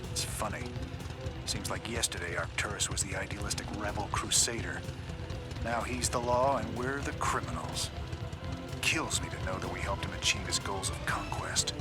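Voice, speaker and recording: An actor playing a gruff, defiant rebel soldier in a video game, blunt and informal, weary and bitter at being betrayed. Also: male voice, fairly low pitch, moderate pace, close to an everyday voice. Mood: weary regret. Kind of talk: dramatic video game voice acting. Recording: loud music over the dialogue.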